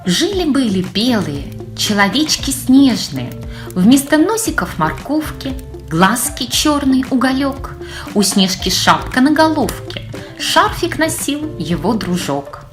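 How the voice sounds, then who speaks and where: A woman reading her own children's fairy tale in verse with an expressive, sing-song delivery. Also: sits high, slow, faint music behind. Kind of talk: scripted children's storytelling in verse.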